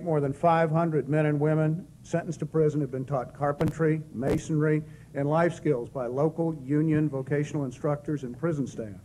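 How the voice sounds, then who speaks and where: A man with a non-Southern accent gives a formal presentation, reading figures in a steady, measured way. His voice sits somewhat high for a man. A faint noise runs under him. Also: low-quality recording. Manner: measured, reads statistics steadily. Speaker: director of corrections presenting a program. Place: hall at a press club.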